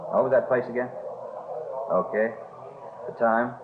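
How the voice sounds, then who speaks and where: An American man playing a brisk, businesslike police detective in a 1950s radio drama. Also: medium pitch, flat delivery, background noise, close to an everyday voice. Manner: clipped, businesslike. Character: brisk, plain-spoken male police detective partner. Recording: muffled old radio recording, music behind.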